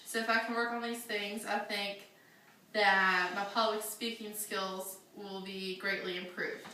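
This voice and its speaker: Young woman, bright voice, echoey room. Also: mid-range voice, little pitch movement, measured pace, a little hiss or hum. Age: young adult. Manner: earnest, slightly nervous, with fillers. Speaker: student giving a class speech. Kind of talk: prepared classroom speech. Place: classroom. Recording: echoey classroom from far off.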